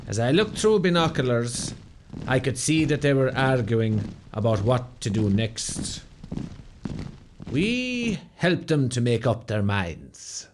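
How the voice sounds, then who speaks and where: An actor playing an Irish veteran officer who recounts a battle in a radio play, grave and measured, with an Irish accent. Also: male voice, medium pitch, background noise. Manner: measured, storytelling. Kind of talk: dramatic first-person radio narration.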